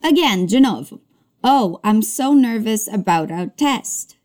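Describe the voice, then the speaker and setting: A woman teaching English as a language lesson, modeling words slowly and carefully before brisk explanations. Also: on the high side, expressive, darkish tone. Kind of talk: slow drilled pronunciation lesson.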